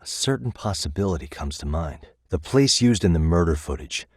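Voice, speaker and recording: Deep-voiced American detective. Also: male voice, lively intonation, breathy edge, no echo, studio quality. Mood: explaining, focused. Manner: measured, articulate, reasoning aloud. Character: level-headed male detective, thoughtful and analytical. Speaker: video game voice actor. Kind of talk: scripted game dialogue.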